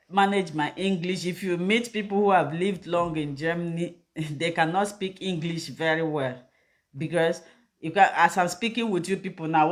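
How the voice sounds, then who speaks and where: Middle-aged Nigerian woman, Igbo first language, rambling live health host with direct appeals to viewers. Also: lowish voice. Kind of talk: casual live video talk.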